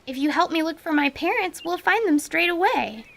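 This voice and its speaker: A woman's voice: very high voice, animated, normal speed, creaky, fairly bright tone, full character voice. A woman voicing a bold, blunt little girl in a radio drama, speaking frankly. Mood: chatty. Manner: frank, chattery, plain-spoken.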